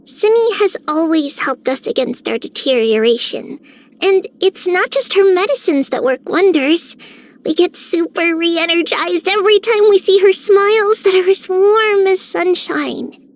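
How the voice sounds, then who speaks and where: A woman's voice: very high voice, expressive, measured pace, full character voice. A woman voicing a bubbly, affectionate little girl in a video game, childlike and friendly. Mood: cheerful. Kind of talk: scripted game character lines.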